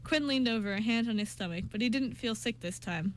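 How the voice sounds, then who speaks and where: A woman with a fairly high voice narrates a scripted radio drama. She reads the story in the third person between the characters' lines. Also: a little fast, slight background noise, rough recording.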